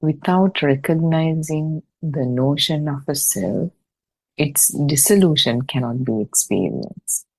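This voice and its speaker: Slow, low-pitched woman reading, husky and gravelly. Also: animated, rough recording. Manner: measured, deliberate, with pauses between short phrases. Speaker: reader and host of an online Buddhist study session. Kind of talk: reading a religious discussion text aloud. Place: online video meeting.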